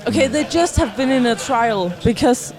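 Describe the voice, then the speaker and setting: A man from Denmark with a Danish accent, chatting casually in a halting, rambling but insistent way. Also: very high voice, lively intonation, crowd noise. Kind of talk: casual chat.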